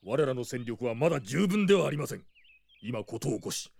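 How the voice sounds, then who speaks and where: A man's voice: medium pitch, sing-song, fairly quick, gravelly, a little mellow, breathy edge, no echo, heavily acted. A male actor playing a stern, cautious old knight and mentor with a formal, old-fashioned manner in a Japanese drama CD. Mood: cautioning.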